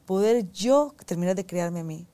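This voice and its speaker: A woman guest, talking reflectively in a soft, unhurried way and pausing to search for words. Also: lowish voice, animated. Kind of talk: reflective conversational talk.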